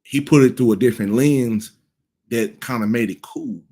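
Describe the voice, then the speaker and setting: A man's voice: mid-range voice, moderate pace, dark tone. A man in his thirties giving casual cultural commentary, blunt and profane, rambling with frequent restarts. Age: 30s.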